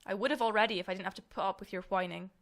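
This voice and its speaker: Young student director, played by a woman: cold, sarcastic, dismissive, medium pitch, natural audio-drama delivery. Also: rapid-fire, a bit bright, lightly acted. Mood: cold, scornful.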